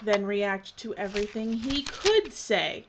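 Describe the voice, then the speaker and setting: A woman playing a curt, exasperated, sharp-tongued documentary director in an audio drama. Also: mid-range voice, lively intonation, measured pace. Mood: commanding, impatient. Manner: curt, commanding, sardonic. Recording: muffled, clearly noisy outdoor ambience.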